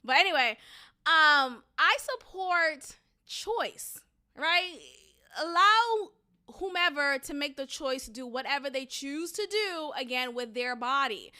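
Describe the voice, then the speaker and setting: A woman's voice: sits high, expressive, takes it slowly, sharp tone. A young woman with a Boston accent hosting a solo opinion podcast, talking in an opinionated, sarcastic and emphatic way. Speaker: podcast host.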